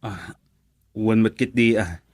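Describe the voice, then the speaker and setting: A man's voice: fairly low pitch, takes it slowly, dry recording. A young man with an Ilocano accent playing an easygoing, caring friend in a radio drama, polite and thinking aloud. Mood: friendly.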